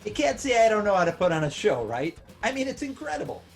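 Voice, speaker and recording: A man's voice: high-pitched, big pitch swings, fast, faint music behind. A man playing a smug, sneering club-owner villain in a script table read, with a mocking, theatrical, condescending delivery.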